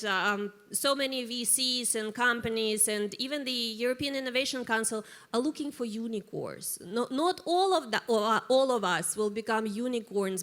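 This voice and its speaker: A woman's voice: on the high side, a bit bright. A woman founder on a live panel, offering earnest, motivating advice.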